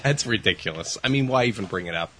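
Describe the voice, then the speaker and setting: A man's voice: lowish voice, animated, quick pace, a bit bright, slight background noise. A man co-hosting a casual radio show, sarcastic and incredulous.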